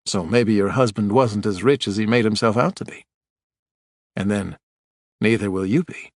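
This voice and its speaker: A man's voice: fairly low pitch, normal speed, no echo, studio quality, natural voice. A man voicing a blunt, plainspoken man who presses a witness with folksy, dogged questions.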